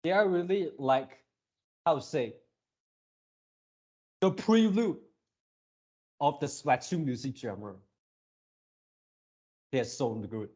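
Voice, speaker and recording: A man's voice: a little high, slow pace, long pauses, darkish tone. A man giving casual reaction commentary as a video creator, rambling like an enthusiastic fan.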